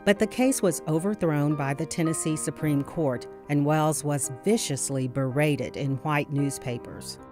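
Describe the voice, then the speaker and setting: A woman reading scripted documentary narration in a measured, carefully enunciated way. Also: sits low. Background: music.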